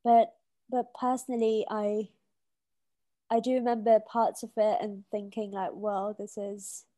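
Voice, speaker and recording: A woman's voice: medium pitch, flat delivery, a little slow, warm tone, no room sound. A woman in her twenties with a British accent, a podcast guest talking in a reflective, hesitant way with many fillers and restarts. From Kenya. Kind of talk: casual conversation.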